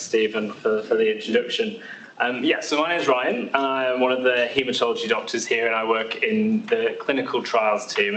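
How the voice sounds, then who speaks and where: Young British male doctor, friendly and halting, captured in a big echoey room. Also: mid-range voice, fairly bright tone, a little hiss or hum. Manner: friendly, many fillers and restarts. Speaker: haematology doctor. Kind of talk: seminar talk to patients. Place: large echoey hospital hall. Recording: roomy hall sound from far off.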